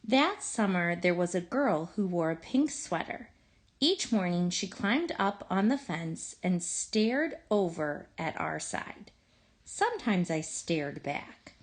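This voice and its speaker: Unhurried woman reading a story, lively intonation. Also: on the low side. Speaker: storybook reader. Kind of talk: expressive children's story read-aloud.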